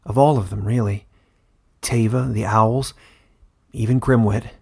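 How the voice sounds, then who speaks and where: An earnest young boy from a fantasy audiobook played by a grown man. He sounds humble and hesitant, sincere in every word, with a slightly creaky, husky tone. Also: on the low side, steady tone, a little slow, darkish tone, exaggerated voice. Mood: grateful, fond.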